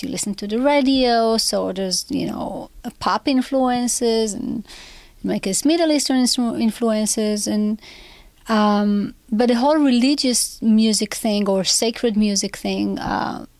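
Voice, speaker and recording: A woman's voice: mid-range voice, darkish tone, no room sound. A woman from Israel, a composer whose first language is Hebrew, talks through her interview answers in a considered way. She stops often to find the right word and fills gaps with small hesitations. Her voice sits in a middle register and the recording is close and dry. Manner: thoughtful, searching for words with many fillers.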